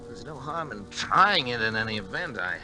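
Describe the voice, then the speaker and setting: An actor playing a tormented, desperate scientist in 1940s radio drama style, with a halting, stammering, overwrought delivery. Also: male voice, medium pitch, big pitch swings, natural voice, rough recording. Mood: anguished, brooding. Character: tormented, desperate scientist, married man and father. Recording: 1940s radio recording with music.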